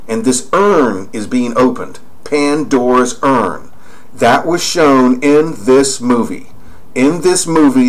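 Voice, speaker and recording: A man's voice: mid-range voice, rough recording. A man narrating over screen images, rambling and pointing out details as he goes. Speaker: video narrator.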